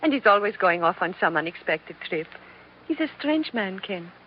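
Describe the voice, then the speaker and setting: A woman's voice: medium pitch, sing-song, moderate pace, lightly acted. An actress playing a romantic, lonely young woman with a coy, eager manner in 1940s radio drama style. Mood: coy, warm. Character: romantic, lonely, modest young plantation heiress. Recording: muffled, noisy old radio broadcast.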